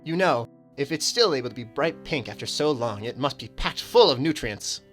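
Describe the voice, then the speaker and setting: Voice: medium pitch, animated, normal speed, a bit bright, close to an everyday voice. An actor playing a keen, precise cartographer and surveyor on a space crew in a radio drama. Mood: earnest. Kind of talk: audio drama acting. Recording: music with guitar behind.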